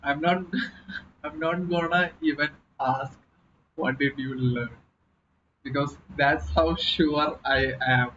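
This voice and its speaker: Young man with a Malayalam accent, host of a casual live stream, fairly high voice, slightly muffled desk-mic sound with faint hiss. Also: steady tone, slow, bright, forceful tone. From Kerala, India. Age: young adult. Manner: chatty, laughing, reading chat aloud. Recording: slightly dull audio with faint noise.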